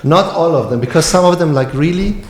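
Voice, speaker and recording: A man's voice: fairly high pitch, lively intonation, a little fast, darkish tone, reverberant, slight background noise. A man with an Iranian accent, a football coach speaking frankly and emphatically in a podcast interview. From Iran.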